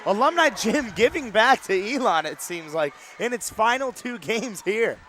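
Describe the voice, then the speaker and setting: A man's voice: sits high, expressive, normal speed, bright, forceful tone, a little hiss or hum. A man giving conversational, appreciative color analysis during a live volleyball broadcast from a gym. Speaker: color commentator. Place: courtside in a gymnasium.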